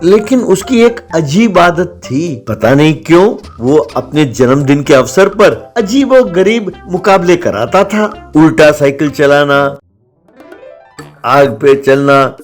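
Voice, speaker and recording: A man's voice: fairly high pitch, lively intonation, takes it slowly. A man reading a scripted moral story as its narrator. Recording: music behind the voice. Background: background music.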